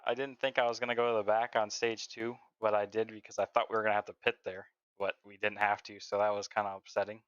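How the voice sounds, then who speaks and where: Brief: low-voiced man, calm race winner, dry lo-fi chat mic. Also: clear, resonant tone, dry recording. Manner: relaxed, halting, lots of "like" and "uh". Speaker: sim racing driver, race winner. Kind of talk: casual post-race interview. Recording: dry, dull voice-chat audio.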